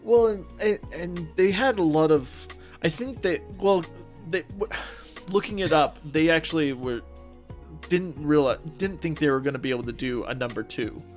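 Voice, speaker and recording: A young man with a fairly high voice chats eagerly as a podcast co-host. He piles up "like" as he gushes about what he enjoyed. Faint music hums in the background. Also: moderate pace. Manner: enthusiastic, peppered with "like". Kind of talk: casual chat.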